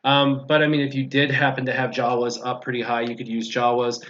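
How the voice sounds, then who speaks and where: A man's voice: mid-range voice, completely flat delivery, fairly quick, fairly bright tone, a little hiss or hum. A man chatting casually as a guest on a gaming stream, explaining things step by step as he answers viewers' questions. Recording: dull lo-fi stream audio.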